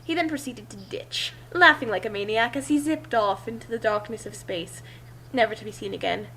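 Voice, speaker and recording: A woman's voice: fairly high pitch, a little fast, sharp tone, slightly rough. A woman reading a story aloud in a theatrical way, with casual asides. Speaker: reader and commentator.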